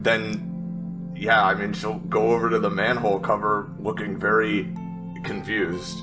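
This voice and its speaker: A man playing a tabletop roleplaying game, casually narrating his character in a hesitant, halting way. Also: lowish voice, level tone, over music.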